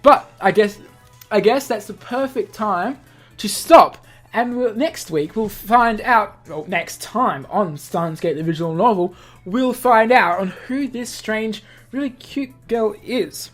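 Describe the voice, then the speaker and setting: A man's voice: sits high, a little slow. A man hosting a gaming video, reading a visual novel aloud with casual asides and correcting himself as he goes. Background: music.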